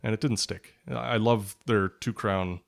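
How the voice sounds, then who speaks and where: A man's voice: lowish voice, steady tone, a little slow, gravelly. A man being interviewed as a podcast guest, chatting casually and thinking aloud in a rambling, hesitant way. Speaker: podcast guest, writer and product journalist. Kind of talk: casual conversational interview chat.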